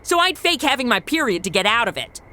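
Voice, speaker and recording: A woman playing a sarcastic, excitable young amateur sleuth in a comedy audio drama, with a flippant, exclamatory delivery. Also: a little high, fast talker.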